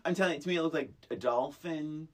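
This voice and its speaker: A woman's voice: low-pitched, fast. A middle-aged woman co-hosting a podcast in casual, comedic movie chat, speaking emphatically and often repeating words for effect. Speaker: podcast co-host.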